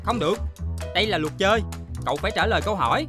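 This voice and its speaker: A woman plays an earnest little pencil kid in a children's cartoon, a bossy, responsible club leader. The delivery is very animated, with a Southern Vietnamese accent, over loud music. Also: medium pitch, rapid-fire, close to an everyday voice. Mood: bossy, firm. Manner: earnest, rule-minded.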